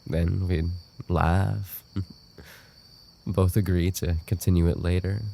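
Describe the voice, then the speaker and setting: A man playing an obsessive, unstable yandere roommate in an audio roleplay, with an intimate, halting delivery. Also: little pitch movement, warm tone, slight background noise, exaggerated voice. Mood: wistful, tender. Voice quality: low, gravelly. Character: obsessive male yandere roommate, soft-spoken, darkly devoted, unstable. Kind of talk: boyfriend ASMR audio roleplay.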